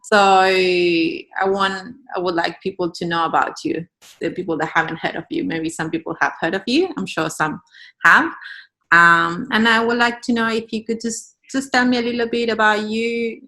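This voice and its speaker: Bright, creaky-voiced woman hosting. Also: mid-range voice, fairly even intonation, normal speed. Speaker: podcast host, interviewer. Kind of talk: casual interview chat.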